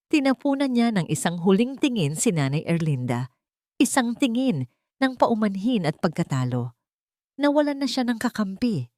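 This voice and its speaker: A woman's voice: sits low, mellow, no room sound, clean studio sound. A woman with a Filipino accent reading scripted, dramatic story narration.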